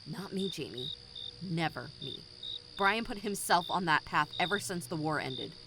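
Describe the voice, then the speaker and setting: A woman playing a bitter, vengeful rebel commander in an audio drama, speaking with formal, deliberate menace. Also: sits low, animated, noisy background. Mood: cold, threatening.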